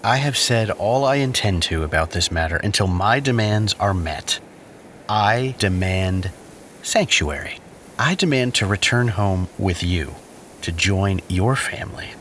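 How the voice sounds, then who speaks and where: An actor playing a bitter, cryptic exiled schemer in an audio drama, speaking in a measured, theatrical way with pauses. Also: male voice, lowish voice, animated, a bit bright. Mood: solemn, demanding. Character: exiled bitter brother, cryptic accused schemer demanding sanctuary. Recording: faint background noise.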